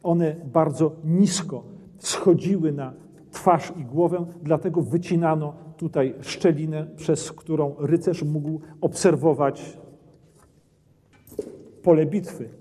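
A middle-aged man explains medieval armour as a documentary presenter, his voice mellow and a little husky. He talks in a stone castle armoury on a lapel mic, with a long reverb trailing his words. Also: on the high side, fairly even intonation, takes it slowly. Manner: explanatory, points at armour as he speaks. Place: dim brick castle armoury, indoors.

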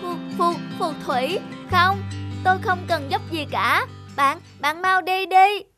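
A woman's voice: very high-pitched, unhurried. A woman voicing a shy, lonely, hesitant schoolboy in a children's cartoon. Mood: nervous. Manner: hesitant, guarded. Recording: music under the voice.

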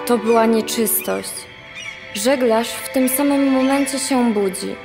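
A young woman narrating a radio play as the storyteller of a sailor's sea voyage. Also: on the high side. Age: young adult. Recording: long hall reverb under music.

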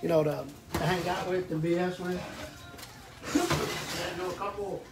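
A man's voice: sits high, fairly even intonation, unhurried, loud music behind the voice. A man, joking casually and swearing while cooking beside his wife in a home kitchen. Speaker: host's husband. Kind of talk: casual banter. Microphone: phone camera across the room. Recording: voice picked up from a distance.

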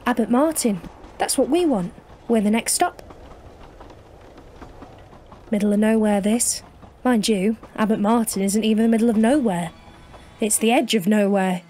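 A woman's voice: fairly high pitch, moderate pace, mellow, soft background music, lightly acted. A woman playing a chatty, wry young train passenger in an immersive audio drama, talking confidingly. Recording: faint train carriage noise.

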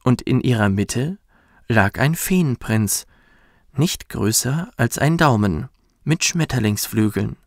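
Male storyteller, soft, soothing, slow, slightly gravelly, dry close sound, children's fairy tale. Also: medium pitch, lively intonation, no room sound. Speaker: professional bedtime story narrator. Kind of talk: gentle scripted storytelling for children.

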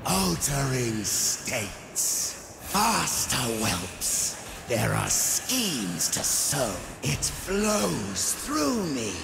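An actor voicing a gleeful, scheming shapeshifting daemon trickster in a fantasy video game, theatrical and mischievous, with a creaky, gravelly voice. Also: male voice, medium pitch, huge pitch swings, extremely slow, noisy background, exaggerated voice. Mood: eager, wicked. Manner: theatrical, mischievous, riddling delivery.

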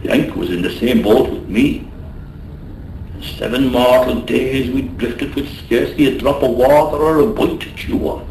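An actor playing a rough, loyal Irish sailor in a radio drama, blustering yet tender, with an Irish accent. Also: male voice, medium pitch, moderate pace, a little mellow, distant mic, lightly acted. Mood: grim storytelling. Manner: blustery, colloquial. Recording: roomy 1980s radio drama, faint noise.

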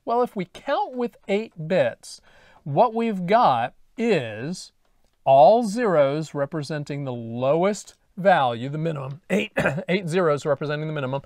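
Man lecturing with lively, swinging pitch. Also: no room sound, studio quality. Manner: conversational, thinks aloud, poses questions and answers them. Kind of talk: explanatory lecture with worked examples. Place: indoor studio at a glass lightboard. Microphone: lapel mic.

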